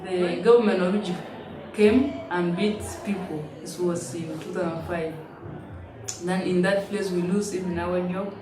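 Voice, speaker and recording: A woman, telling her story in halting, simple English with frequent restarts. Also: lowish voice, steady tone. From South Sudan. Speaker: interviewee, refugee. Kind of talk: personal testimony in an interview. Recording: roomy, distant mic, noisy ambience.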